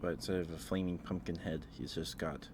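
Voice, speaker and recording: A man hosting an ASMR livestream, chatting casually in a halting, rambling way with pauses and repetitions. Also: low voice, fairly even intonation, background noise. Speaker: livestream host.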